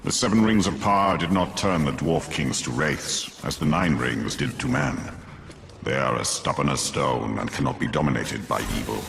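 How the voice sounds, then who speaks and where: An actor playing a grave, portentous wraith who tells ancient lore in a video game. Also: male voice, a bass voice, creaky, fairly bright tone, a little hiss or hum, full character voice. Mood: solemn, ominous. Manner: slow, portentous. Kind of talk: video game character acting.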